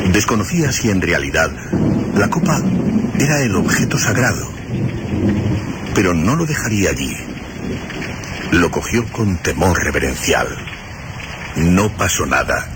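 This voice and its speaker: Male narrator for horror radio drama: very low, expressive, solemn, set against loud rain and storm noise. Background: rain and storm ambience.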